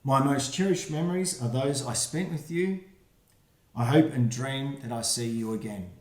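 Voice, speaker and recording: Guy reading his own kids book out loud, rhymes, soft little asides, echoey room. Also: medium pitch, moderate pace. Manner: friendly, reads rhyming verse with quiet asides. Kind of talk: children's storytime reading. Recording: roomy echo.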